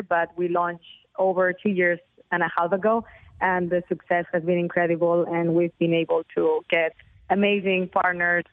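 A woman's voice: fairly low pitch, no echo. A woman with a Barcelona Spanish accent, a company founder giving an upbeat, fluent radio interview over the phone. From Spain. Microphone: phone line. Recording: tinny, dry phone line.

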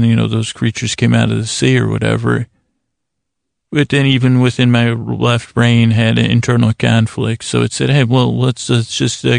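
A man hosting a sleep podcast, rambling in a halting, meandering way, repeating words and restarting his sentences. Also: medium pitch, gravelly, dry recording, studio quality. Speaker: podcast host. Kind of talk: rambling bedtime monologue to lull listeners to sleep.

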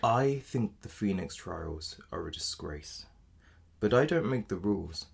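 Man acting as low, gravelly, matter-of-fact solicitor. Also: expressive, full character voice. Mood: blunt, resigned. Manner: measured, matter-of-fact, formally polite. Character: apologetic but blunt male defence solicitor in dystopian future. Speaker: actor. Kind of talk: audio drama dialogue.

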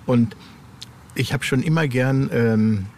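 A middle-aged or older man giving conversational, halting interview answers as a horror author. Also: medium pitch, a little mellow. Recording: clear ambient background noise.

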